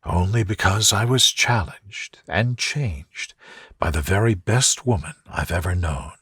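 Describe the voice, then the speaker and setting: A man voicing a devoted, tender gentleman in love, murmuring softly for a romantic bedtime story. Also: a little slow, husky, no room sound, studio quality, exaggerated voice. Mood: earnest, tender. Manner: low, soft murmur.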